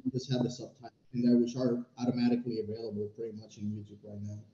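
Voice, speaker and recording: Man, 40s, very dark mellow voice, measured advice, muffled roomy sound. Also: mid-range voice, little pitch movement. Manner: measured, uses fillers like you know. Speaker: guest expert. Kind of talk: answering a question on a video call. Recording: dull roomy webcam audio.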